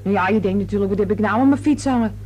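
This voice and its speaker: A woman's voice: medium pitch, fast talker, darkish tone, slightly rough, lightly acted. A young woman playing a cheeky, plain-spoken maid in a radio thriller, talking in a colloquial way. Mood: flippant, flustered. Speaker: actress in a radio serial. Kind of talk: Dutch radio thriller acting. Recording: noisy old radio play with hum.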